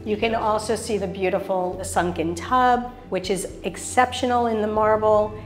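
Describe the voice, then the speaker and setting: A woman's voice: medium pitch, steady tone, moderate pace. A middle-aged woman giving an enthusiastic, polished sales tour of a show apartment, listing features one after another. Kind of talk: polished real estate showcase tour. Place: show apartment kitchen, indoors.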